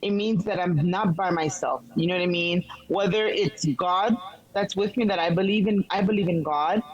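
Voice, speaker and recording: A woman's voice: lowish voice, normal speed, highs cut off. A middle-aged woman from Haiti, speaking earnestly as an interview guest and growing firm and emphatic. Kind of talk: casual interview talk.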